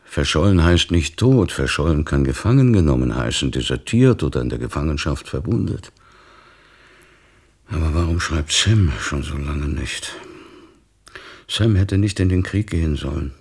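An actor playing a worried, pious old father in a radio drama, brooding and plaintive, with a gravelly, husky voice. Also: a bass voice, lively intonation, normal speed, heavily acted. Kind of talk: literary radio drama acting.

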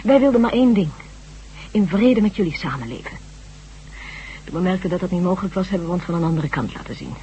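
An actress playing a grave, resolute survivor of a hunted humanlike species in a Dutch radio drama, speaking in an earnest, measured way. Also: female voice, lowish voice, animated, warm tone, lightly acted. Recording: dull old radio recording with hum.

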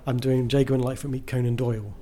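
A man's voice: medium pitch, level tone, fast, dark tone. A man being interviewed as a writer, chatting casually and enthusiastically, often rambling and breaking into his own sentences.

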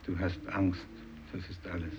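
A man's voice: sits low, little pitch movement, measured pace. An actor playing a stern fisherman urging on a companion, firm and curt, in a 1950s German radio drama. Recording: muffled old radio recording, faint noise.

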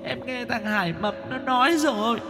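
Male reader as young female ghost for audio story: jealous, whiny, scolding, pitched high. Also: normal speed, vocal fry, in a large hall, over music. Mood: tearful, accusing. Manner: tearful whining, nagging questions.

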